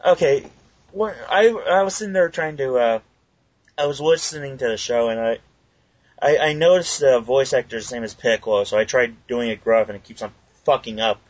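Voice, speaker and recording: A man who voices fan dubs, chatting casually, halting with fillers and swearing. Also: medium pitch, no echo. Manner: hesitant, sweary. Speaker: fan dub voice actor. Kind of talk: casual podcast chat. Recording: dry, dull lo-fi recording.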